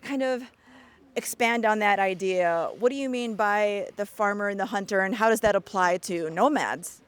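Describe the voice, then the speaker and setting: Woman hosting a chat, friendly and encouraging, mid pitch voice. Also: moderate pace, smooth. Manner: chatty, encouraging, laughs easily. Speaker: interviewer. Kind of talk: casual interview.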